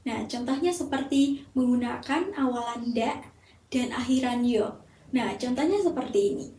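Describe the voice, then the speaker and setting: A young woman from Central Java, a university student reading a prepared self-introduction for a class assignment in measured, explanatory sentences. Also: a little high, dark tone. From Temanggung, Central Java, Indonesia. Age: young adult. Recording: roomy echo, distant mic, faint hiss.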